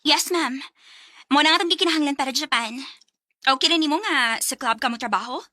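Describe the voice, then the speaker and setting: A brisk female boss with a high, forceful voice. She talks in clipped, instructing phrases in a radio drama, recorded very dry. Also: no room sound. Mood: businesslike. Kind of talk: Filipino radio drama acting.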